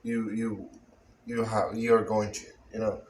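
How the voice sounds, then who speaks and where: Dominican man speaking halting English. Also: fairly low pitch, level tone, darkish tone. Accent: Dominican Spanish. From Dominican Republic. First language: Spanish. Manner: halting, searches for words in English. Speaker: vlogger. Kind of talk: casual chat. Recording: echoey room, faint noise.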